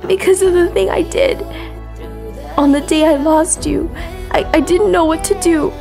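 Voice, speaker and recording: A woman voicing an earnest, polite young female android soldier in an animated drama, with formal, sometimes hesitant phrasing. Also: extremely high pitch, normal speed, vocal fry, over music. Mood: anxious, grieving. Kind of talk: animated-series voice acting.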